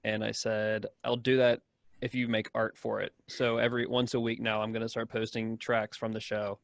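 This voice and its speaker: Man, creaky voice, self-effacing manner, informal talk, dry recording. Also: on the low side, level tone, moderate pace, no echo. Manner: self-deprecating. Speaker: show's music composer. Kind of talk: casual chat.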